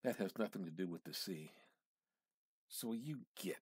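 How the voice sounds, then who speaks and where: Husky low-voiced man reading dramatically. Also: lively intonation, normal speed, no echo, clean studio sound. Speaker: amateur reader practicing dramatic reading. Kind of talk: dramatic reading of a literary short story.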